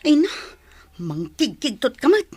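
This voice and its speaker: A woman's voice: high voice, huge pitch swings, unhurried, dark tone, husky, dry recording, full character voice. A woman playing a flustered, hesitant village woman who stammers out pleading excuses in a comedy radio drama. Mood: flustered, nervous.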